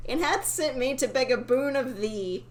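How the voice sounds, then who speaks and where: A woman's voice: high voice, animated, normal speed, pitched above the performer's own voice. A woman voicing a timid, henpecked fisherman husband from a fairy tale, pleading in old-fashioned phrasing. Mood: calling out, pleading. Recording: faint background noise.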